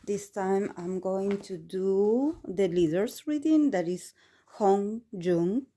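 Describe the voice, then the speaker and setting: Mellow-voiced woman, hesitant and reflective. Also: mid-range voice, slow pace. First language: not English. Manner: hesitant, many fillers and restarts, reflective. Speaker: tarot reader. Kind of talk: casual solo tarot reading.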